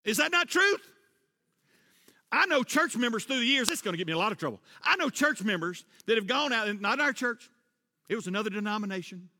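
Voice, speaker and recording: A middle-aged man preaching a sermon, rising into loud emphatic refrains and dropping back to a quieter tone. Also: high-pitched, sing-song, fairly quick. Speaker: pastor. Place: church sanctuary. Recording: long church reverb.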